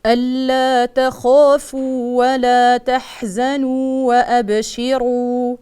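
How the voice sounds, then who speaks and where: Woman reciting scripture in a smooth, clear voice. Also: sits high, flat delivery, warm tone, soft background music. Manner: measured, melodic chanting. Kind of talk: Quran recitation.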